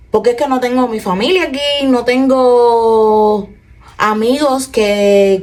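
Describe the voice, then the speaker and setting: Earnest Cuban woman, soft reflective vlog. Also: mid-range voice, a little hiss or hum. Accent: Cuban Spanish. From Cuba. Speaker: vlogger. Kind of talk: personal confessional talk to camera.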